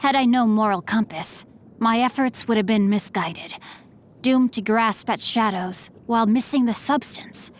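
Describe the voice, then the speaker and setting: A woman's voice: on the high side, measured pace, a little hiss or hum, natural voice. A woman voicing a courteous young swordsman-scholar. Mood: polite, composed. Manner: polite, formal and gently teasing. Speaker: game character voice lines. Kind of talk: scripted game voice lines.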